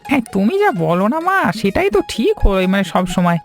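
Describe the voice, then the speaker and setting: A woman voicing a genial older male sweet shop keeper in a cartoon, creaky and effusive, agreeing fondly in a halting way. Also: mid-range voice, very animated. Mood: fond, agreeable. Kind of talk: cartoon voice acting. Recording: music under the voice.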